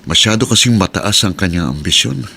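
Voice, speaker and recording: A man's voice: sits low, expressive, a little fast, vocal fry, pushed and bright, a little hiss or hum, exaggerated voice. An actor with a Filipino accent playing a frustrated, long-suffering husband and father in a radio drama. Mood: resigned.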